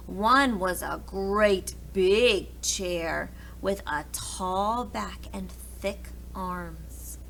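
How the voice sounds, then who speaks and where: A woman reading a storybook aloud to young children in an expressive way, pausing to explain things to them. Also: mid-range voice, gravelly, a little hiss or hum, low-quality recording. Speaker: preschool read-aloud teacher.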